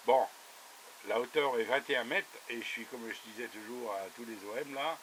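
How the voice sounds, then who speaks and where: A man's voice: medium pitch, moderate pace, fairly bright tone. A man talking casually as a radio operator over a two-way radio, chatty and full of little verbal tags. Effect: two-way radio transmission. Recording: noisy buzzing radio transmission.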